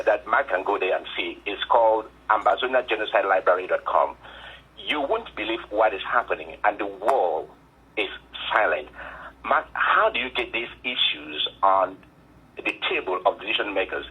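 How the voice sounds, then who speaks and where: A man's voice: on the low side, lively intonation, moderate pace, husky. Urgent male caller on a phone line. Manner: urgent, pleading. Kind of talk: phoned-in question.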